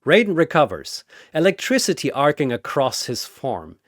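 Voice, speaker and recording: A man's voice: fairly high pitch, wildly swooping pitch, moderate pace, dry recording, clean studio sound. A man delivering dramatic scripted battle narration in a theatrical, punchy way.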